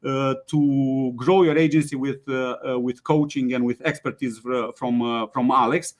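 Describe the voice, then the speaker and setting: A man hosting a webinar, speaking haltingly with frequent fillers and restarts. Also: medium pitch, steady tone. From Romania. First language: Romanian. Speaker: webinar host, company CEO. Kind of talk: hesitant webinar presentation with many fillers.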